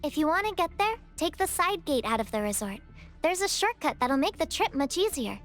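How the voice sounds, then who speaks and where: A young female resort worker in a video game, with a high, polite voice. She is earnest and takes things literally, and music plays faintly behind her. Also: big pitch swings, brisk, a bit bright. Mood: helpful.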